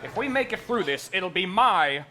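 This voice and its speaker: A man's voice: sits high, animated, moderate pace, heavy background noise. A man playing a terse, formal starship officer in a parody stage reading. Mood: urgent, formal. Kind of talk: parody stage musical acting.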